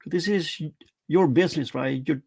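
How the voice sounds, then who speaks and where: Brief: host, gravelly slightly raised voice, mild stutter, dry close sound. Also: male voice, fairly high pitch, normal speed, darkish tone, dry recording. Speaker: podcast host. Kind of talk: casual interview chat.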